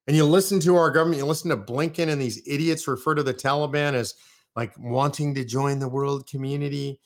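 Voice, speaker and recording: A man's voice: medium pitch, steady tone, fairly quick. An American man from California hosting a live political commentary show, ranting in heated, punchy bursts of repetition.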